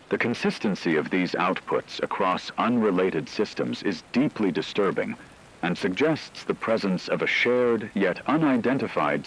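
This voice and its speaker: A man playing a grave radio news anchor in a scripted drama, speaking in a measured, formal way over a noisy background. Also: deep voice, vocal fry, fairly bright tone, heavily acted. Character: grave male radio news anchor reporting a global AI crisis.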